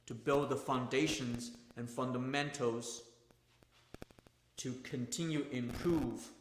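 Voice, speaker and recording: A man's voice: medium pitch, fairly bright tone, reverberant, a little hiss or hum, poor audio. A male golf instructor, not a native English speaker, giving an emphatic, repetitive livestream talk in plain, non-native grammar.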